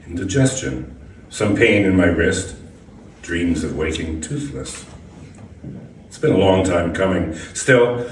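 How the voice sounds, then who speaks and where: A man's voice: fairly low pitch, darkish tone. A man reading a first-person short story aloud as scripted narration, now and then stumbling over a word. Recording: echoey room, distant mic, background noise.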